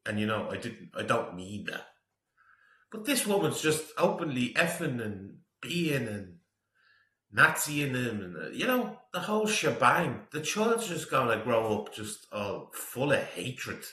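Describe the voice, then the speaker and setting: A man's voice: mid-range voice, big pitch swings, measured pace, sharp tone. A man, likely middle-aged or close to it, giving casual, opinionated off-the-cuff commentary as a disapproving reaction host. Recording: roomy, echoey sound.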